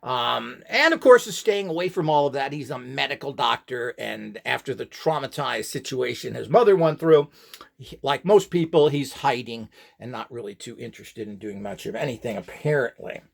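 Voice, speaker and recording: Gravelly, opinionated man lecturing. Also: medium pitch, lively intonation, normal speed. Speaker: instructor in radionics. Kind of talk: informal rambling lecture.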